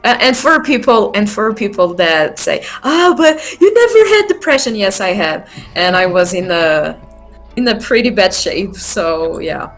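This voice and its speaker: Brief: non-native English woman, unhurried, halting phrasing, faint music behind. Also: medium pitch, very animated, fairly bright tone. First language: not English. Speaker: music producer guest. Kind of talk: casual interview chat.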